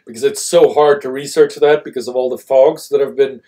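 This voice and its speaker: A man's voice: mid-range voice, fairly even intonation, fairly quick, warm tone. Middle-aged man co-hosting, native Swedish and English. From Sweden. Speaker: live stream co-host. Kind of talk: unscripted live discussion. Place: small indoor room, seated.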